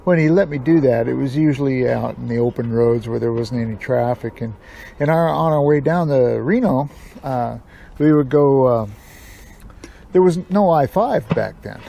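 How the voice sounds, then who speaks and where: A man's voice: medium pitch, normal speed, gravelly, warm tone. An older American man telling stories from his past in a casual, rambling, folksy way. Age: older, 60s or above. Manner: rambling, folksy, chuckling asides. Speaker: storytelling vlog host. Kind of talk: casual reminiscing storytelling.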